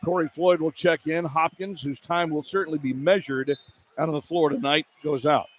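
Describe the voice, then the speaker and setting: High-pitched man calling live basketball. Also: fairly even intonation, moderate pace. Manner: clipped running description in short phrases. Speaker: radio play-by-play commentator. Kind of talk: live basketball play-by-play commentary. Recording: arena crowd noise behind broadcast. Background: arena ambience.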